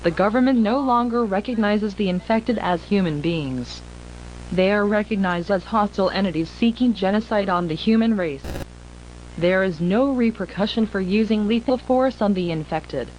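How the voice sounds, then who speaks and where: A woman performs an emergency broadcast announcer for analog horror, grave and impersonal. Her mid-pitched voice delivers formal, measured warnings as scripted alerts. A faint humming noise sits under the recording. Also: darkish tone, muffled recording, lightly acted.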